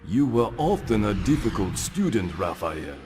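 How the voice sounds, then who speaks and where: An actor voicing a wise, forgiving old rat master and father in a video game, speaking in a measured, formal way. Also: lowish voice, very animated. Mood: gentle, solemn. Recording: long hall-like reverb. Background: faint music.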